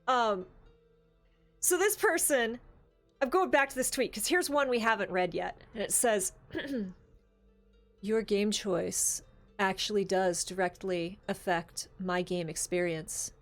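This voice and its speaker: A woman's voice: medium pitch, slow pace, faint music behind. A woman hosting a casual discussion show, talking conversationally with lots of "like" asides. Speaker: show host. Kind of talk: casual panel discussion of tabletop gaming.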